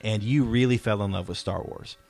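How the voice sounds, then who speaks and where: Low-voiced man chatting over music. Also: fairly quick, vocal fry, a little mellow. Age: 30s. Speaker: podcast host, father talking with his children. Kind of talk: casual chat, personal storytelling.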